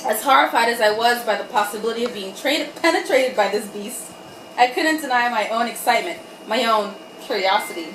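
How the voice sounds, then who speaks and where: Woman, tipsy comic reader, highish voice, irreverent delivery with asides. Roomy recording with faint noise. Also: brisk, fairly bright tone. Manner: irreverent, giggly, tipsy, breaks off to comment. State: tipsy from wine. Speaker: reader and host of a comic group reading. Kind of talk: dramatic reading of erotic fiction with joking asides. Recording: roomy, distant mic, faint background noise.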